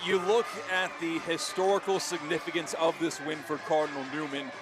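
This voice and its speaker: A man doing excited, emphatic play-by-play football commentary with dramatic phrasing. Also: fairly high pitch, moderate pace. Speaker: play-by-play sports announcer.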